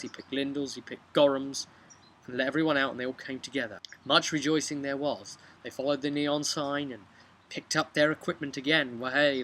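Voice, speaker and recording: A young man casually recapping a tabletop game as game master, halting at times and emphatic, recorded outdoors. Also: mid-range voice, bright, forceful tone. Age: young adult. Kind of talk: casual vlog storytelling. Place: outdoors on a bench by a brick house. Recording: outdoor webcam with background noise.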